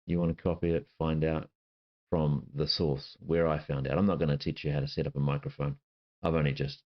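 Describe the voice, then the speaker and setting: A man in his fifties speaks with a softened New Zealand accent in a very deep, low voice. He talks in a halting, unscripted way, pausing on fillers and correcting himself. The sound is dull and muffled, from a home laptop setup. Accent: New Zealand, softened by years abroad. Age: 50s. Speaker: channel host. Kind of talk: casual self-introduction to camera. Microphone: laptop with webcam and desk microphone.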